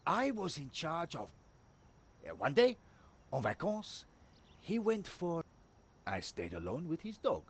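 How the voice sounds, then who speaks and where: An excitable French-accented man, theatrical and pompous. His pitch swoops widely, and the voice has a slightly husky edge. He sounds like a comic adventure game character. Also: unhurried, long pauses, exaggerated voice. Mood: sheepish confiding. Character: two excitable Frenchmen: café waiter and bumbling police sergeant.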